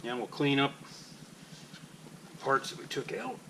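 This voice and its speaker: A man's voice: mid-range voice, very animated, a little slow. A man narrating a casual, unscripted hands-on maintenance tutorial in an instructional way. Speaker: boat maintenance demonstrator. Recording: clearly noisy ambient background.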